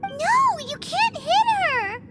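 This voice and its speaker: A tiny, kind-hearted companion girl from a video game. The voice is extremely high, squeaky and cute, swooping expressively as it takes its time over the words. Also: very animated, slow pace, over loud music, full character voice. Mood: alarmed. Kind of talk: English video game cutscene acting.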